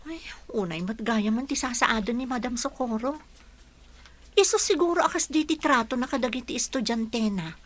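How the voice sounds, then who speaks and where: A woman's voice: fairly high pitch, lively intonation, moderate pace, a bit bright. A woman acting a sympathetic young student teacher chatting with her friend in an Ilocano radio drama, with an Ilocano accent.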